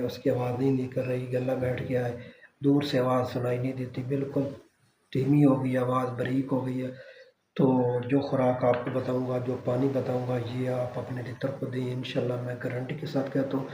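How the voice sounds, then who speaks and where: Flat-voiced man in an echoey room. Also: mid-range voice, slight background noise. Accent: Pakistani Urdu. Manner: reassuring, repeats "theek hai" as a check-in. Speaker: bird-keeping channel host. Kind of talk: casual how-to talk to camera. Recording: echoey room with faint ambience.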